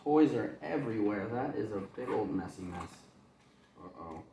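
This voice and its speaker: A man's voice: lowish voice, lively intonation, normal speed, gravelly, mellow, slight background noise. A man, a kindergarten teacher, reading a picture book aloud to children with chatty, rambling asides and chuckles. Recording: echoey room.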